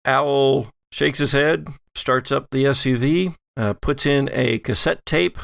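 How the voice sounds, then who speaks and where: A man narrating a tabletop game as game master, setting scenes cinematically in deliberate short phrases. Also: mid-range voice, steady tone, gravelly, a bit bright, no room sound, studio quality. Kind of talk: descriptive improvised narration for audio-drama tabletop game.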